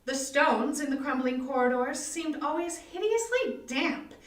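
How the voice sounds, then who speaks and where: A woman giving a dramatic reading of gothic horror prose with deliberate, melodramatic emphasis. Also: high-pitched, fairly bright tone. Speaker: volunteer or library staff reader. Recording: echoey room, distant mic.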